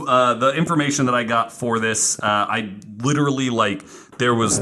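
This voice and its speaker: A man hosting a podcast, telling a true story casually in an informal, halting way with some self-corrections. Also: lowish voice, sharp tone, rough recording. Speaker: podcast host. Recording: faint background noise.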